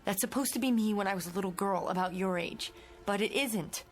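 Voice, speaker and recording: A woman's voice: medium pitch, a little fast, close to an everyday voice. A woman playing an anxious, bewildered grown woman in a radio drama, earnest and questioning in short phrases. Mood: demanding, bewildered. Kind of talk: old-time radio drama acting.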